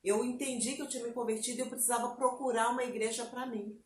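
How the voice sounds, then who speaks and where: A woman's voice: fairly high pitch, steady tone, quick pace, roomy sound. A Brazilian woman with a Bahian accent, telling her conversion story in a casual chat and acting out her own past words. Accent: Bahian Brazilian Portuguese. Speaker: interview guest. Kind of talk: personal testimony, casual chat.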